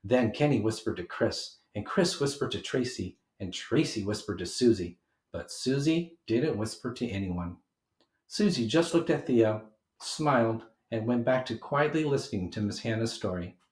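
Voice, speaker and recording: A man's voice: medium pitch, normal speed. Man reading a storybook in a roomy space. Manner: animated, emphatic delivery for young listeners. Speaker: storyteller reading a children's book aloud. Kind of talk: expressive children's read-aloud narration. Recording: roomy homemade recording.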